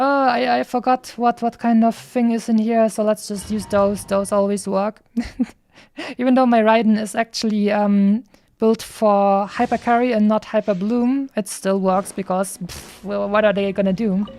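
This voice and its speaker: A woman's voice: mid-range voice, fairly even intonation, measured pace, darkish tone. A woman streamer giving casual, chatty gameplay commentary, rambling and thinking aloud as she goes.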